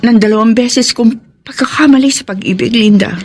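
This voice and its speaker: A woman's voice: a little high, brisk, darkish tone, natural voice. A Filipino woman acting an earnest, principled, hardworking single mother in a radio drama. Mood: weary, resolute.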